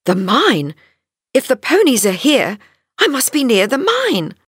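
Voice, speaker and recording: A woman playing an earnest, anxious young heroine in a dramatised audiobook, with a husky, breathy voice and expressive, deliberate delivery. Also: high-pitched, dry recording, studio quality, heavily acted. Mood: pitying, curious.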